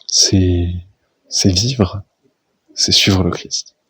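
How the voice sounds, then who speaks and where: A man in his twenties calmly introducing himself and speaking about faith. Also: deep voice, extremely slow, breathy edge. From France. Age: 20s. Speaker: team member introducing himself. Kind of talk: prepared self-introduction.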